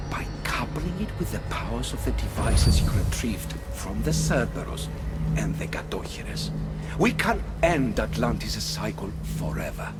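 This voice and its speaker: Casting: solemn, remorseful sea god for a game cutscene, formal and deliberate, expressive melodic range, under loud score. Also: male voice, medium pitch. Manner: grave, formal, deliberate speech. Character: weary, remorseful male sea god ruling Atlantis. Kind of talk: dramatic video game cutscene acting. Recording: loud music over the dialogue.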